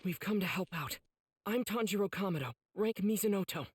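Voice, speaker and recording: An actor voicing an earnest, kind-hearted teenage boy swordsman in an anime game, youthful and urgent, with a slightly husky voice. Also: mid-range voice, lively intonation, brisk, a little hiss or hum, close to an everyday voice. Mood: earnest concern. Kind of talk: anime game cutscene acting.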